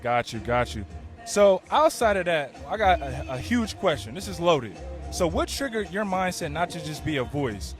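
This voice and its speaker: A man's voice: fairly high pitch, sing-song, moderate pace. A male podcast host interviewing a guest outdoors, laid-back and full of affirmations and slang. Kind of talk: casual interview. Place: outdoors at a busy event. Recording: music behind, outdoors.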